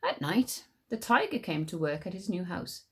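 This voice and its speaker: A woman reading a story aloud as a teacher would, in an expressive storybook narration. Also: lowish voice, moderate pace, husky.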